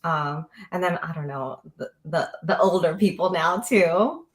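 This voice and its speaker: A woman's voice: lowish voice, unhurried. A woman chatting casually about books as a guest on a video call. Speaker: book club guest. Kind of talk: casual book discussion chat. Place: indoor room with bare walls, video call.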